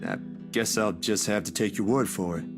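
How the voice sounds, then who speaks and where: An actor playing a tired, troubled but friendly photographer in a visual novel, with music behind him. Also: male voice, fairly low pitch, normal speed, lightly acted. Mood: weary, subdued. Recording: game audio over guitar music.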